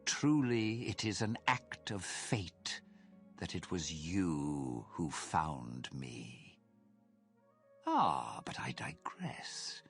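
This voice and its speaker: A man's voice: deep voice, a bit bright, heavily acted. A man voicing a sinister, courtly spirit that lives inside cursed armour in a fantasy game, speaking in a theatrical, formal and suave manner. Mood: eager, suave. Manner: theatrical, formal, suave, drawn-out menacing phrasing. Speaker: video game character in a fantasy mod.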